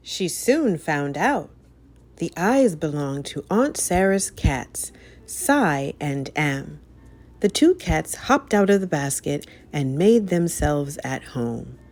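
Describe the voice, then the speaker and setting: A woman narrates a children's story in a low voice. Her reading is expressive and dramatic, swinging widely in pitch to mark each turn. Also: takes it slowly. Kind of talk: children's read-aloud narration.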